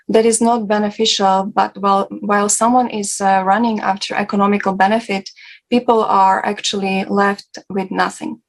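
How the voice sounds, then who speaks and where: Female moderator for an earnest discussion, mid-range pitch, little melodic variation, halting delivery. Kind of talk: earnest panel discussion talk.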